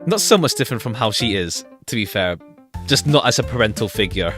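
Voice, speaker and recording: A man's voice: on the low side, big pitch swings, fairly quick, fairly bright tone. A man giving casual commentary as he plays a visual novel, rambling and repeating phrases as he thinks aloud. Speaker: streamer playing a visual novel. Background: game music.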